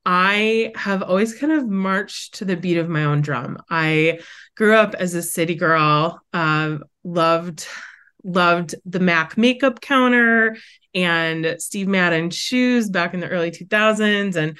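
A woman in a lowish voice. She is bubbly and talkative as a podcast guest, with lots of "like" between her words. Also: slow, fairly bright tone, clear, resonant tone. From Wisconsin, USA. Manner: bubbly, chatty, lots of "like" fillers. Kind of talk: casual interview chat.

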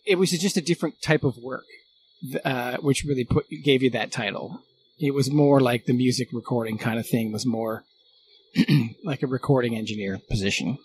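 A man's voice: medium pitch, a little hiss or hum, rough recording. A middle-aged man in a casual unscripted conversation as a guest, thinking aloud as he goes. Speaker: former radio recording engineer, podcast guest.

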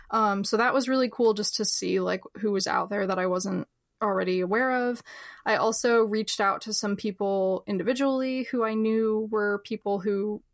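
Creaky-voiced New woman. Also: medium pitch, no room sound, clean studio sound. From New Jersey. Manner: chatty, many fillers and laughs. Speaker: book editor, podcast guest. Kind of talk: casual interview talk.

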